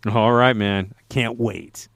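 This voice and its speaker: A man's voice: on the low side, fairly even intonation, slow, vocal fry, fairly bright tone, no echo. A man co-hosting a podcast, chatting casually and rambling with many fillers and restarts. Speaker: podcast co-host.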